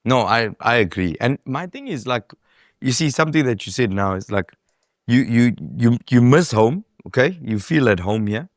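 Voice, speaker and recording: A man's voice: mid-range voice, expressive, normal speed, no room sound, studio quality. A South African man hosting a casual interview, chatty with lots of fillers and some swearing. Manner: conversational, frequent fillers, swears. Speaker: host.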